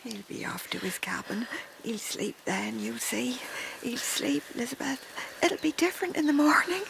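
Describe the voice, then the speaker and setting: An actress in a radio drama playing a timid, frightened middle-aged wife and mother, anxious and hushed. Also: a little high, expressive, moderate pace, creaky, background noise. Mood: anxious soothing.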